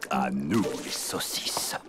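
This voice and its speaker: A man's voice: very deep voice, animated, slow, heavy background noise, heavily acted. A man voicing a cheery, fussing middle-aged elephant dad in a children's cartoon, theatrical and given to muttering to himself. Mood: musing, muttering. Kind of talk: animated children's cartoon acting. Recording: music behind the voice.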